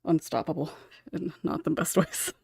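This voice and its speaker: A woman's voice: low voice, very animated, normal speed, gravelly, darkish tone, slightly rough, no room sound, studio quality. A woman hosting a casual podcast panel over an online call, with an informal, giggly, hesitant manner. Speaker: podcast host. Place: online voice call, dry close recording. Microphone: own microphone with noise suppression.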